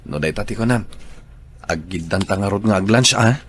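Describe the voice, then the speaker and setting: A man acting a shy, earnest young office worker in love with a coworker, soft-spoken, in a radio drama. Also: fairly low pitch, moderate pace, husky.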